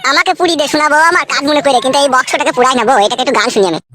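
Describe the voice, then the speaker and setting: A man's voice: very high-pitched, rapid-fire, crowd noise. A man voicing a cheeky, foul-mouthed, henpecked village husband in a comedy cartoon, with a rural West Bengal accent. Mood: coaxing. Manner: brash, colloquial.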